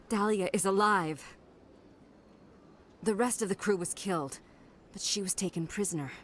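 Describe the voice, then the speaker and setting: An actress playing a wealthy, anxious diplomatic emissary in a video game, speaking in a composed, formal, measured way. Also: female voice, lowish voice, a little hiss or hum, close to an everyday voice. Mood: grave, controlled. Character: wealthy, anxious alien diplomatic emissary pleading for her sister. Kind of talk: video game dialogue acting.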